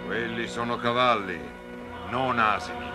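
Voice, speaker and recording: A man's voice: mid-range voice, a little slow, close to an everyday voice. A man voicing a stern but fatherly old desert merchant in a cartoon, giving firm instruction. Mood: instructive. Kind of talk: animated cartoon dub acting. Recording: music behind the voice.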